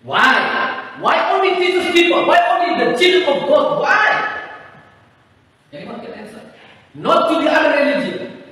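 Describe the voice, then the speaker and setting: High-voiced preacher booming in an echoey hall. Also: male voice, big pitch swings, measured pace. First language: Indonesian. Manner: preaching with sudden loud high-pitched rises, pacing and gesturing. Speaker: pastor. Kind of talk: sermon. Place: large bare community hall, indoors. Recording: echoey hall, voice far from the mic.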